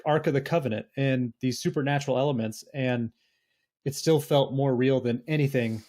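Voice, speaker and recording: A man's voice: medium pitch, moderate pace, darkish tone. A man co-hosting a podcast, casually giving his opinions in a discussion about films. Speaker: podcast host.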